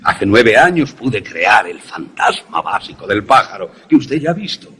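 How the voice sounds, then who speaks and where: A man playing an erudite, self-assured scientist in a suspense radio drama, explaining things formally and with deliberate pauses. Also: lowish voice, very animated, a bit bright, husky, full character voice. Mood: proud, lecturing. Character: erudite, self-assured male scientist who creates ghost images.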